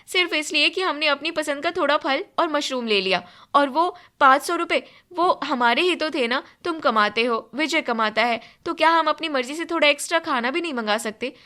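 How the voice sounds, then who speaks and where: A woman's voice: high voice, sharp tone. A woman voicing an indignant, entitled young daughter-in-law in a story, complaining and demanding.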